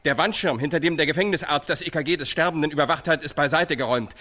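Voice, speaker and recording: An actor playing a prison inmate who recites hard facts in a declamatory, clipped way in a radio drama. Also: male voice, fairly high pitch, fast talker, pushed and bright. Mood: grave, factual. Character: male prison inmate performing in a documentary stage play.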